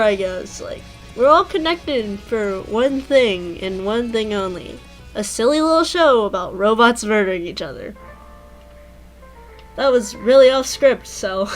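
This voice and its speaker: A woman's voice: a little high, rough recording. A woman giving an informal, rambling personal tribute in a hesitant, self-deprecating way. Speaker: fan content creator.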